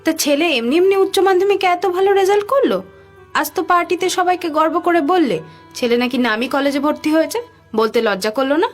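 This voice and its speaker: High-pitched, dramatic cartoon mother acted by a woman. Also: quick pace, soft background music. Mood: indignant, nagging. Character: middle-aged mother, proud, nagging working wife. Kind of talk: Bengali cartoon voice acting.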